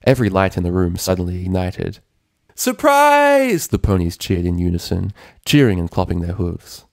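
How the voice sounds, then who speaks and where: A man's voice: low voice, little pitch movement, brisk, creaky, darkish tone, dry recording, studio quality. A man reading a story aloud as scripted narration. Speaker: fan fiction reader, narrator.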